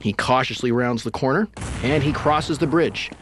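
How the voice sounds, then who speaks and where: A man giving play-by-play commentary on a competitive video game match, his voice jumping up at each kill. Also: moderate pace. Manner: rises sharply in pitch at kills. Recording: TV broadcast with game gunfire noise.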